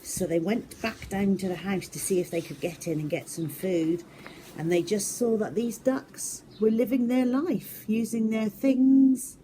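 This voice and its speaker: A woman telling a story to children in an expressive, emphatic way, addressing young listeners directly. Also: mid-range voice, measured pace, a little hiss or hum, rough recording.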